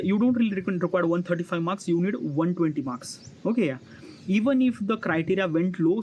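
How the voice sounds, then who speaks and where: A man in his early thirties with an Indian accent, giving an unscripted personal monologue to viewers in a halting, repetitive, filler-heavy way. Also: a little high, darkish tone. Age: early 30s. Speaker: vlogger. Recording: noisy ambience with birdsong. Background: chirping birds, ambient noise.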